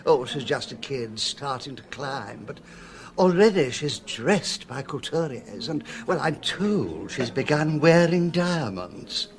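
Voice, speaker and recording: An actor playing a jovial, gossipy show impresario and gourmand in a British radio drama, effusive and theatrical. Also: male voice, medium pitch, lively intonation, slow. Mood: gossipy, amused.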